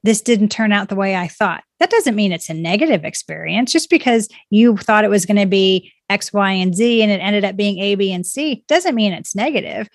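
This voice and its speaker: A woman's voice: mid-range voice, expressive, moderate pace, hardly any pauses, no room sound, clean studio sound. A woman speaking as a guest in a conversation, earnest and encouraging, speaking in positive affirmations about personal growth. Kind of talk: reflective conversation.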